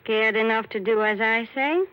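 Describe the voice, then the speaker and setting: Femme fatale played by a woman, higher-pitched, cryptic and quietly menacing, 1940s thriller radio with muffled old sound. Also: steady tone, smooth, no echo, lightly acted. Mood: menacing, insistent. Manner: cool, cryptic, deliberate. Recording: dull old radio recording.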